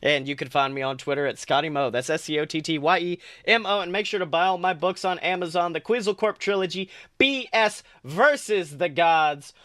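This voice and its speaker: Young guy hosting a podcast, hyped and jokey, high bright voice, dry close mic. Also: brisk, hardly any pauses, no echo. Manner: hyped, joking. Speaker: podcast co-host. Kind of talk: casual podcast chat and story reading.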